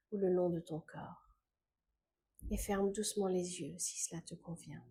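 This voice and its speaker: A woman guiding a scripted meditation in a calm, gentle, unhurried way, with soft phrase endings that fade into breath. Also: mid-range voice, slight background noise. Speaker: meditation guide.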